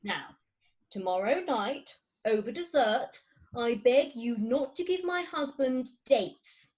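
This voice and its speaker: A woman's voice: on the high side, animated, slow. A woman acting a proud, coaxing middle-aged wife in a comic Victorian farce, theatrical and emphatic, on slightly dull online call audio. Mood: earnest, coaxing. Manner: theatrical, emphatic, grandly articulate. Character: imperious, coaxing Victorian English wife, thirty-six, proud. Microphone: remote home recording over video call.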